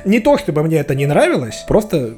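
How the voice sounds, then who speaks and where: A man's voice: on the high side, huge pitch swings, brisk, dark tone, faint music behind. A man co-hosting a casual podcast about video games, joking and self-mocking. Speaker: podcast co-host.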